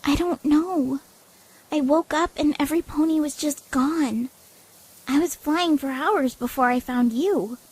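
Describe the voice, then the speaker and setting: A woman's voice: high voice, a little slow, voice pushed up, poor audio. A woman voicing a scared, injured young female pegasus pony in an audio drama, whimpering and pleading. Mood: anxious. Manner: whimpering, pleading, hushed at times.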